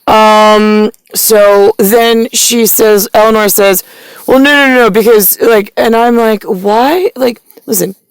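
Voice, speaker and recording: A woman with a fairly high voice tells a long family story, jumping between thoughts and swearing as she gets worked up. Her delivery is full of fillers and restarts, and the sound is dry and close. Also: fairly even intonation, slow, clear, resonant tone, dry recording, rough recording. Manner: disjointed, profane, agitated retelling; many fillers and self-corrections. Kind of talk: rambling personal story.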